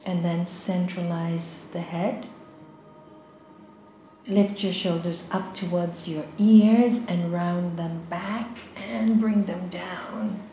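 Slow, soft-spoken woman guiding meditation. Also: lowish voice, reverberant, slight background noise. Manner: slow, soft, calm instructions with long pauses. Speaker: meditation guide. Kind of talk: guided meditation.

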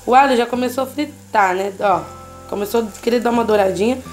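Brief: female cooking host, Brazilian Portuguese accent, higher voice, chatty and reassuring, faint music under her. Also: a little fast, a little mellow. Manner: chatty, constantly checking in with the viewer. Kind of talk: casual home cooking tutorial. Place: home kitchen, at the stove.

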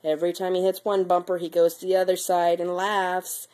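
A young mother, sick and speaking lower than usual, talking to her baby in a playful, sing-song way while filming in the nursery on a handheld camera. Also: flat delivery, fairly quick, a little mellow. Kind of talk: home video baby talk. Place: baby's nursery, indoors.

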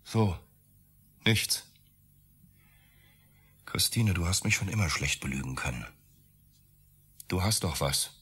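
Bitter accused man in a radio play, sarcastic, husky, really swings up and down. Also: sits low, lively intonation, slow, pauses often, fairly bright tone, exaggerated voice. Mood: defensive, bitter. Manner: sarcastic, accusatory, rhetorical questions. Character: Max, accused architect, bitter, volatile, cornered lover. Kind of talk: German radio drama acting.

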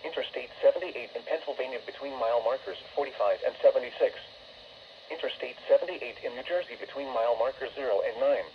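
A synthetic male voice reading a formal, measured emergency weather bulletin over a weather radio. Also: medium pitch. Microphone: camera recording a radio's small speaker. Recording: muffled, noisy weather radio speaker.

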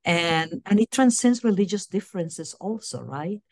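A woman's voice: fairly low pitch, lively intonation, moderate pace, darkish tone, dry recording, clean studio sound. A middle-aged woman from Puerto Rico answering interview questions over a video call from a small room at home, earnest and often repeating words as she searches for her phrasing. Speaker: guest, university diversity director. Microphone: laptop webcam on video call.

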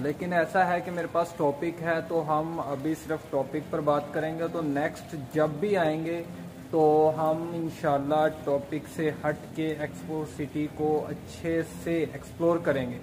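Young man vlogging over clear background noise. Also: fairly high pitch, steady tone, measured pace. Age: 20s. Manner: explanatory, code-switching with English words. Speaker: vlog host. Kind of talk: casual vlog talk to camera. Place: open public area at night. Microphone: phone at arm's length. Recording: noisy phone recording.

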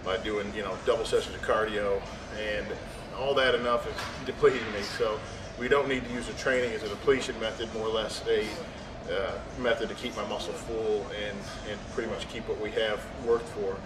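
A bodybuilder in his thirties explains his workout in a matter-of-fact way, full of trailing fillers. His voice stays level and unvaried. The sound is roomy and distant, with clear gym noise around him. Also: fairly low pitch, fairly even intonation, brisk. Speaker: competing bodybuilder. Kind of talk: casual gym talk to camera. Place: large indoor gym. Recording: echoey gym audio from a distance.

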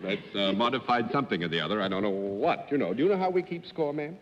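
A man's voice: lowish voice, expressive, slight background noise. A middle-aged man moderating a live game show with teasing jokes, on a studio stage. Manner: playful, teasing, quick with jokes. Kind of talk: genial quiz-show moderating. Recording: dull lo-fi 1950s broadcast audio, long hall reverb, faint audience.

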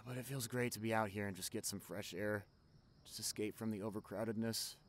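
A man's voice: on the low side, steady tone, a little fast, lightly acted. A young man playing a caring, chatty college best friend in an ASMR roleplay, talking casually and directly to the listener. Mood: casual, relaxed.

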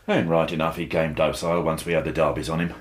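An actor playing a dry, self-assured, brisk police inspector in a radio drama. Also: male voice, a bass voice, level tone.

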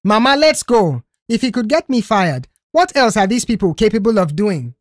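A woman's voice: mid-range voice, sing-song, normal speed, no echo, studio quality, pitched above the performer's own voice. A woman voicing a resentful, sly adult son in an audio story, agitated and accusatory. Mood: agitated, bitter.

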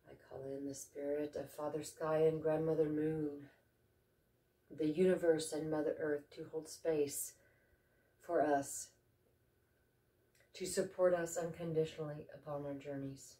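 Meditation guide, female, low mellow tone, reverent and unhurried with pauses, recorded in an echoey space. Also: little pitch movement. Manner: slow, reverent, deliberate with pauses. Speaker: meditation guide and ceremony host. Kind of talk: guided meditation with spoken invocations. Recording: roomy echo, distant microphone.

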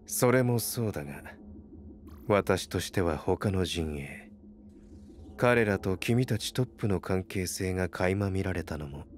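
Slow, deep-voiced morbid scholar warlord. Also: male voice. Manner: measured, philosophical, detached. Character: serene, scholarly male warlord fascinated by beautiful death. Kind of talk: Japanese anime voice drama acting.

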